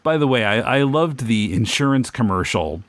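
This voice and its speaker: A man's voice: medium pitch, expressive, normal speed. A man hosting a casual podcast interview, asking curious questions in a slightly hesitant way.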